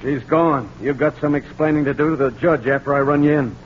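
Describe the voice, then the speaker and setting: A skeptical police sergeant acted by a man in a 1940s radio drama, American and brusque. His voice sits fairly high and carries gruff authority through a slightly noisy, dull recording. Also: a little fast, lightly acted. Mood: stern, relenting.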